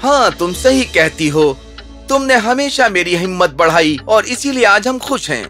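Cartoon shoemaker, actor-played: good-hearted middle-aged man, bright forceful tone, lively intonation, faint music behind. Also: mid-range voice, lightly acted. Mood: agreeable, thoughtful. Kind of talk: children's cartoon dub.

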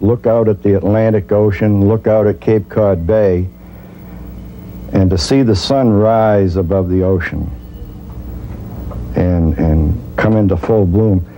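Low, mellow older man reminiscing. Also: a little hiss or hum, poor audio. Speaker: interviewee. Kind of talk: reminiscing in an interview. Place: small furnished room indoors. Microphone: lapel mic.

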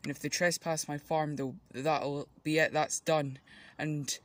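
A man's voice: a little high, level tone, unhurried, a bit bright. A young man voicing a cheerful, boastful toy plumber who shows off his farm, rambling and chatty, filmed on a phone in a back garden. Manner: rambling, chatty, show-and-tell. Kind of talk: toy play video narration. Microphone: phone held by the filmer.

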